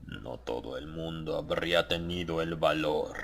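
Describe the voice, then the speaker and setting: A man voicing a grave, reverent old monk of a sinister brotherhood in an audiobook, solemn and ingratiating, with a gravelly voice. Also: extremely low pitch, unhurried, a bit bright, pitched below the performer's own voice, full character voice.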